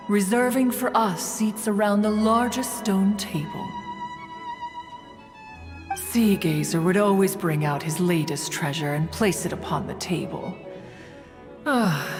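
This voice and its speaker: A woman's voice: medium pitch, close to an everyday voice. An actress voicing a proud, haughty female crane spirit and inventor in a video game, talking in a stately, pompous way. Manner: pompous, stately, refers to herself as one. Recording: long reverb with game music behind.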